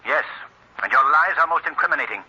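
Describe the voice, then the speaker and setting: An actor playing an invisible, coolly menacing avenger in an old radio mystery, speaking with formal, deliberate, mocking authority. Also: male voice, a little high, expressive, sharp tone, breathy edge, full character voice. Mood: accusing, mocking. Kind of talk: 1940s radio mystery acting. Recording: dull, muffled old radio recording.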